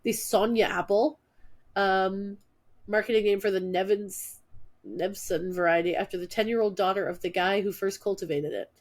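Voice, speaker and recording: A woman's voice: medium pitch, fairly even intonation, normal speed. Stuffy-voiced woman co-host, wry and dry, reading a list aloud in casual chat. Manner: wry, reads names and facts off a post. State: stuffed up from allergies. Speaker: podcast co-host.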